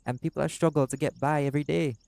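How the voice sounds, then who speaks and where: A man voicing a doting, laid-back seagull shifter boyfriend in an intimate audio roleplay, speaking softly and affectionately. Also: a little high, steady tone, fast, gravelly, no echo. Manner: soft-spoken, affectionate, creole-inflected speech.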